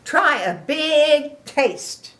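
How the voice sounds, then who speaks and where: A woman acts out an eager, pushy little storybook pig. The voice jumps high and stretches each word out very slowly, with big exclamations made for small children. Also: animated, a bit bright, full character voice. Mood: coaxing. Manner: exclaiming, drawn-out emphatic words. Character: excitable, pushy young pig friend. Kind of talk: animated children's picture-book read-aloud.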